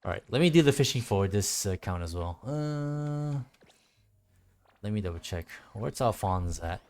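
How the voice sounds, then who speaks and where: A man's voice: lowish voice, animated, a little slow, darkish tone. A man streaming a game with casual solo commentary, often muttering to himself and casually swearing.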